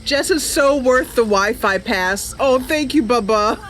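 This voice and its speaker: A woman hosting a casual gameplay livestream, chatty and giggly, with hesitant pauses. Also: on the high side, a bit bright, poor audio. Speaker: livestream host. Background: game music and ambient sounds.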